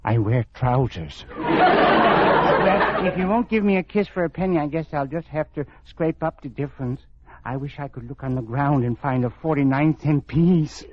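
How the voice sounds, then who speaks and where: A man's voice: on the high side, moderate pace, a faint crowd, lightly acted. Man acting a timid oddball husband, muffled old radio. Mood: forlorn, wistful. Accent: American. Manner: hesitant, meek, deadpan. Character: timid, homely, oddball middle-aged husband, self-deprecating. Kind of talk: 1950s American radio comedy acting. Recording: muffled, noisy old radio with studio audience.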